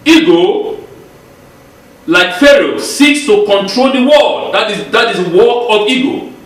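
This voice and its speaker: Guy preaching, high lively voice, echoey far-off mic in a hall. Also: slight background noise. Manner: animated, repeats words, acts out little voices. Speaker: congregation teacher. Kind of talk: Torah portion sermon. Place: congregation hall.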